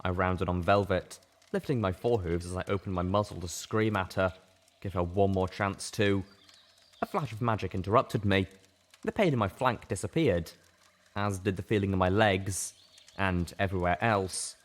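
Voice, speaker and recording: A man's voice: sits low, normal speed. A man reading an audiobook as a dramatic, intense first-person narrator. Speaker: audiobook reader.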